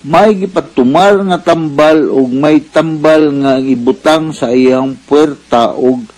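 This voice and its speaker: A man's voice: a little high, takes it slowly, darkish tone. A man with a Cebuano Filipino accent presenting a radio advice program as its doctor host, explaining things conversationally.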